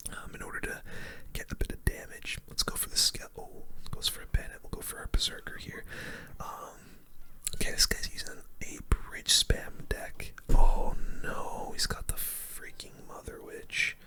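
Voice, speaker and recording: ASMR gaming host, unvoiced whisper, very bright, breathy, casual slangy play-by-play. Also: normal speed. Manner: hushed, casual gamer slang. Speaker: gaming video host playing a card battle game.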